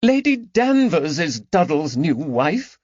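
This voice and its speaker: A distressed aristocratic lady in her forties, theatrical and tremulous, as a male narrator pitches his voice high and loud to put her on. Her lines rise with distress. The recording is dry and slightly dull. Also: sing-song, slow pace, no echo, heavily acted. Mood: bitter, distressed.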